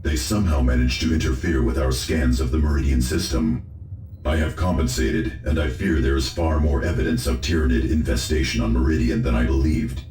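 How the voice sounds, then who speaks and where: A man playing a solemn, learned military adviser in a sci-fi game, giving measured, formal briefings. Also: rumbling low, background noise. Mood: grave. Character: solemn, learned space marine officer advising his commander. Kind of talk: science fiction video game voice acting. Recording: echoing voice, faint music behind.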